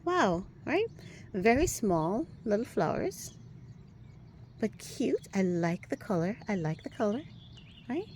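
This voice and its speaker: A woman giving a casual walking tour of her garden outdoors, chatty and upbeat, a little hoarse, often asking "right?" as she films on a handheld phone. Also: medium pitch, big pitch swings, takes it slowly, long pauses, darkish tone, slight background noise. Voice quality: a little hoarse, clears throat. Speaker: garden vlogger.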